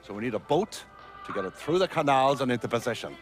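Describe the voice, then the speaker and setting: A man's voice: mid-range voice, sing-song, fast, soft background music, lightly acted. An actor playing a no-nonsense heist planner who gives his crew businesslike orders in a video game cutscene. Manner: instructive, businesslike.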